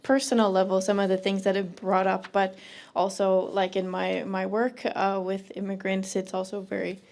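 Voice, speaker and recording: Female co-host, flat gravelly voice, slow reflective closing remarks. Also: medium pitch.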